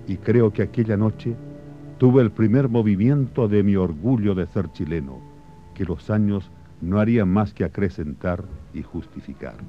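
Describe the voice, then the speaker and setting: A man playing a solemn storyteller, narrating patriotic history and memories in a measured, literary radio drama style. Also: fairly low pitch. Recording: muffled radio broadcast, faint music behind.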